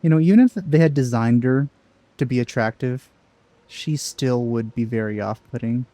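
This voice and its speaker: A man's voice: mid-range voice, moderate pace, mellow, dry recording. A man giving casual let's play commentary in a dry, sceptical manner. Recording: game music behind the voice.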